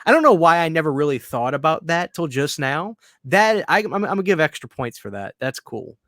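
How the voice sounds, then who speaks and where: A man in his thirties co-hosting a podcast in casual chat, excitable and swearing a lot, often dropping to low mutters. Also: brisk, dry recording, clean studio sound. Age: 30s. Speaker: podcast co-host.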